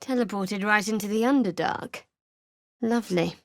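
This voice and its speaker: A woman's voice: medium pitch, moderate pace, no room sound, close to an everyday voice. An actress voicing a guarded, sardonic young female cleric in a video game, with a dry, sarcastic delivery. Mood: wry. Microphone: close studio mic.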